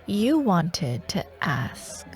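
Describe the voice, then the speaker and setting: Woman playing a slow, taunting female dark warlord. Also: fairly low pitch, sing-song, soft background music, exaggerated voice. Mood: sly, bargaining. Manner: teasing, taunting, slow and deliberate, slipping toward whispers. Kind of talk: scripted fantasy ASMR audio roleplay.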